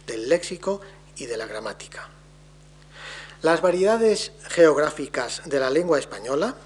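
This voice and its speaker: A man delivering a formal academic lecture on linguistics in a measured, deliberate way, his phrases trailing off softly. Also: on the high side, breathy edge.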